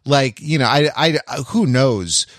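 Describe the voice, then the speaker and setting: A man's voice: mid-range voice, animated, takes it slowly, fairly bright tone, no room sound, clean studio sound. A man co-hosting a podcast with casual conversational commentary, informal and often checking that his listener follows. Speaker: podcast co-host.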